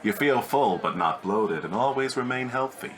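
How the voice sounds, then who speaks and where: A man's voice: mid-range voice, animated, normal speed, a loud crowd, close to an everyday voice. A man acting an affable character in a light comic audio drama. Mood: smooth, friendly.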